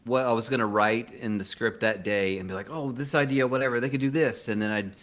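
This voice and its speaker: A man's voice: medium pitch, fairly quick, a bit bright. A man talking casually as a screenwriter guest in an interview, rambling and self-deprecating as he tells stories.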